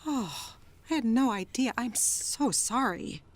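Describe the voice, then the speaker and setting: An actress playing Jerry, a troubled, wistful, newly sober wife in a 1930s radio drama. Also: female voice, fairly high pitch, expressive, slow. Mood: chatty, wry.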